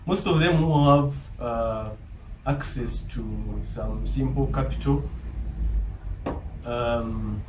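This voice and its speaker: A man with a Ugandan accent giving a formal, earnest, deliberate self-introduction as a business school applicant. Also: mid-range voice, darkish tone. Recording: roomy, distant mic, faint background noise.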